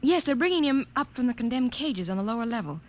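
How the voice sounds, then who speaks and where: Actress doing young lady scientist, 50s radio drama, highish voice going up and down a lot, proper but jittery. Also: expressive, fast, close to an everyday voice. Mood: brisk. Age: young adult. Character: young female genetics professor, nervous yet dutiful. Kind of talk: 1950s radio drama acting.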